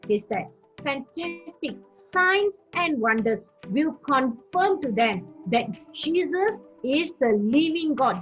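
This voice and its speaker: A woman's voice: high-pitched, dull audio. A woman evangelist from Singapore giving earnest teaching and testimony, repeating phrases for emphasis.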